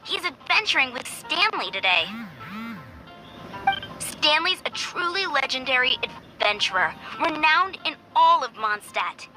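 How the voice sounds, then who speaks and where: A woman's voice: sits high, sing-song, a little slow, sharp tone, exaggerated voice, poor audio. A woman voicing a friendly young female trainee adventurer in a video game, speaking in an earnest, polite way. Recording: music under the voice.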